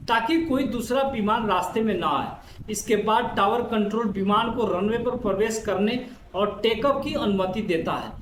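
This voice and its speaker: A man reading a scripted educational explainer, addressing listeners directly in an explanatory way. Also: high-pitched. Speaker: narrator of an educational explainer video. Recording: roomy echo, faint background noise.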